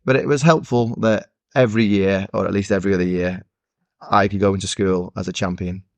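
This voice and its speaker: A man's voice: sits low, steady tone, brisk, dry recording. A man co-hosting a casual football podcast, teasing his friends with mock-grudging banter. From Scotland. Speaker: podcast co-host.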